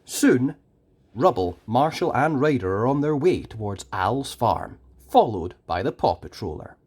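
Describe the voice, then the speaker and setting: A storyteller reading a children's book aloud in an expressive, dramatic way with deliberate emphasis. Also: mid-range voice, husky. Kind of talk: animated scripted children's story narration.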